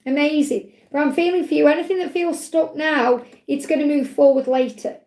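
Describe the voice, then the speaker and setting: A woman, a tarot reader hosting a live Q&A, talking in a chatty, reassuring way while her voice grows croaky. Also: sits high, a little fast, a little mellow, roomy sound. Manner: chatty, reassuring, repeats phrases, ends points with "okay?". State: voice getting croaky. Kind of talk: live-stream tarot reading, reading out chat messages.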